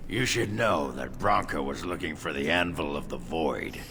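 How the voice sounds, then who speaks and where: An actor playing a crude, boastful, drunken dwarf warrior in a fantasy video game, gruff and gravelly. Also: male voice, a bass voice, animated, moderate pace, noisy background. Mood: gruff, explaining. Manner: gruff, coarse. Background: game music.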